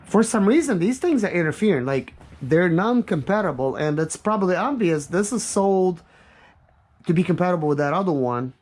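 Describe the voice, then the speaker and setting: A man's voice: sits high, expressive, normal speed, darkish tone. A man giving casual, rambling hands-on commentary as he tests a product, thinking aloud as he goes. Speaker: product reviewer. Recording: noisy recording with clicks.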